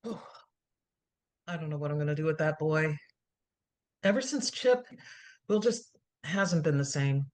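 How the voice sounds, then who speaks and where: A woman's voice: low voice, a little slow, long pauses, a little mellow. A woman playing a blunt, bossy, worried mother in a screenplay reading. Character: scolding, worried mother of a troubled boy. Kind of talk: live staged screenplay reading.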